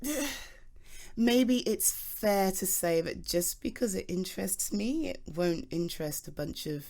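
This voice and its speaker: A woman's voice: mid-range voice, animated, a little slow, vocal fry, a little mellow. A British woman playing an enthusiastic, self-deprecating geology nerd in an ASMR roleplay, rambling hesitantly as if chatting to a listener. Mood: hesitant, reluctant. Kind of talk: ASMR voice roleplay, improvised one-sided conversation.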